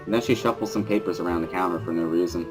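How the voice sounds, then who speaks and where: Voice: low voice, rapid-fire, over music. A storyteller giving a dramatic reading of a first-person story as narrator.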